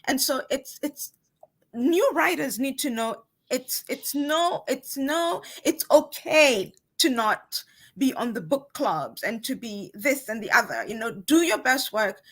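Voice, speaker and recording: A woman with a fairly high voice, talking as a guest author in a casual interview. She is emphatic and encouraging, often repeating a phrase to press her point. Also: expressive, a little slow, a bit bright, slightly rough. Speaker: guest author on a book talk show.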